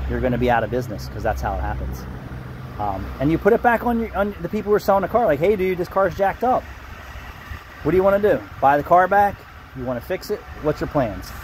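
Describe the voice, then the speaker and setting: A man's voice: mid-range voice, a little hiss or hum. A man in his fifties, a car shop owner, ranting bluntly and swearing into a phone held at arm's length outdoors. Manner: angry, blunt, swears and rambles. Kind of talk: ranting vlog to camera. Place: outdoors beside a building.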